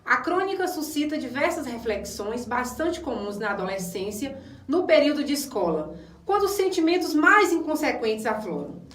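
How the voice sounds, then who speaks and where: A woman's voice: high-pitched, very animated, normal speed, a bit bright. A woman with a Brazilian accent, an actress delivering a scripted theatrical monologue presentation. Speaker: stage actress. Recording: echoey room, distant microphone.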